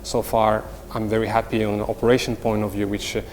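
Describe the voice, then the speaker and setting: A middle-aged man with a Moroccan accent explains things in a semi-formal interview as a company executive, haltingly and with repeated words. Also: fairly low pitch, little pitch movement, a little mellow. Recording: reverberant hall, faint background noise.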